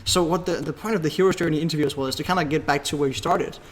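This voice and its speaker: A man's voice: on the high side, steady tone, rapid-fire. A man interviewing a guest in a casual, conversational style.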